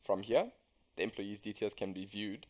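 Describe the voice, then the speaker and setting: A young man reading a scripted software tutorial as a student demonstrator. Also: lowish voice, moderate pace. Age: young adult.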